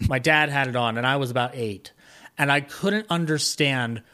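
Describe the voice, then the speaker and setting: Man, medium pitch, podcast guest, casual reminiscing, halting, rambling, frequent mid-sentence restarts. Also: bright, forceful tone. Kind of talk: casual conversational interview, reminiscing.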